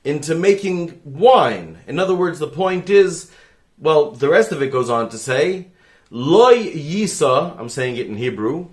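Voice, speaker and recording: A man who is a rabbi makes an earnest appeal straight to the camera. He rambles, breaks off his own sentences and speaks with fervor. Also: fairly high pitch. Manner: rambling, self-interrupting, fervent.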